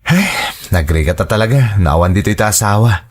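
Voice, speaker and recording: A man's voice: fairly low pitch, animated, brisk. A man with an Ilocano Filipino accent playing an earnest married office worker and devoted father in a drama. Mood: earnest, wistful. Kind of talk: Ilocano radio-style drama acting.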